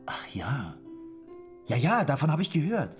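An actor playing a meek, earnest man in a radio drama, speaking hesitantly with formal courtesy and a husky voice. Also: on the high side, huge pitch swings, a little slow, over loud music. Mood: curious. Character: meek, polite, earnest man eager to be useful. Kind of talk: German radio drama acting.

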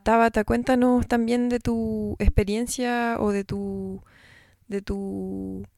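A woman radio host introducing a guest and asking questions in a halting way, often restarting her sentences. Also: on the high side, little pitch movement, vocal fry, darkish tone, dry recording. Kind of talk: radio interview.

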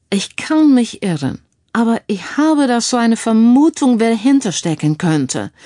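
A man's voice: high-pitched, warm tone, no echo, voice pushed up. A woman narrator plays a confident male computer sleuth in an audiobook crime story. He explains things with smug, analytical assurance and sprinkles in English phrases. The sound is dry and close. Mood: conspiratorial. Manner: peppers speech with English phrases.

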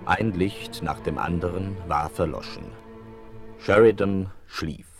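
A man's voice: low-pitched, takes it slowly, faint music behind. A man narrating dramatically from a script, as the storyteller of a German radio play. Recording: noisy 1970s vinyl rip.

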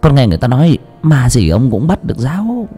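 A meek young serving woman, voiced by a man, soft and deferential, bowing her words to her mistress. Her pitch is raised and moves a lot. Faint music plays underneath. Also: wildly swooping pitch, fast talker, slightly rough. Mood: hushed. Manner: timid, deferential.

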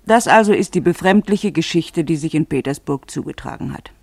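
A male narrator with a fairly high, creaky voice comments on a story. He sounds pedantic and bemused, weighing his words fussily in a literary style. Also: brisk, a little mellow, no room sound.

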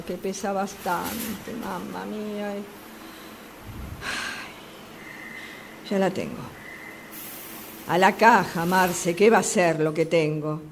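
A woman's voice: medium pitch, darkish tone. An actress playing a chatty, exasperated woman with a Rioplatense Argentine accent, gossiping and complaining in a radio play about a subway phone call. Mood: flustered. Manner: gossipy, exasperated, repeating herself. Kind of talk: radio theatre monologue acting. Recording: clearly noisy amateur workshop recording.

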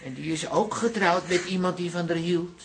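A man's voice: sits high, normal speed, creaky, a little hiss or hum. An actor playing a doting middle-aged father in a radio drama, earnest and reassuring. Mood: consoling.

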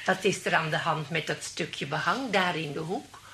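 A woman's voice: sits low, normal speed, noisy background, lightly acted. An actress playing an elderly lady friend, vague, nosy and innocently tactless, in a radio play. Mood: innocently critical. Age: older.

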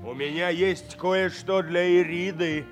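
High-voiced, gossipy townsman. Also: fairly even intonation, a little slow, music in the background. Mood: cajoling. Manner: chatty, full of interjections and chuckles. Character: gossipy, scheming, excitable townsman, the captain's brother. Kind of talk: theatrical audio play acting.

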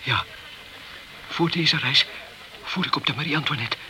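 A man's voice: on the high side, moderate pace, background noise, close to an everyday voice. A man playing a defensive, frightened sailor in a crime radio drama, guarded and evasive in his answers. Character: defensive, frightened male sailor hiding the truth.

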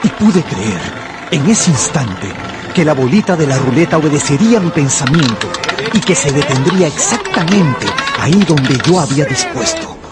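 An actor playing an anxious gambler who narrates his own ruin in a radio novel, brooding and intense, with dramatic pauses. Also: a little high, sing-song, loud music behind the voice. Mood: feverish, defiant.

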